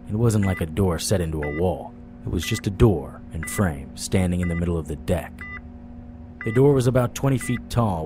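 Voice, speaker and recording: A supernatural private investigator telling his own story in a low voice. He is wry, deadpan and world-weary, in the noir manner of audio drama. Music plays clearly behind him. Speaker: narrator and lead actor. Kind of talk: noir first-person audio drama narration.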